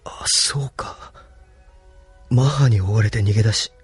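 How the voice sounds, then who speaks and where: 男性声優がアニメのオーディオドラマで、警戒心を抱えた礼儀正しい逃亡中の青年を演じている。. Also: male voice, lowish voice, a little slow, slightly rough, faint music behind. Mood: dazed, weak. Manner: polite, hesitant, with hushed inner monologue. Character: polite, wary young man on the run. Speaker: voice actor. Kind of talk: anime audio drama acting.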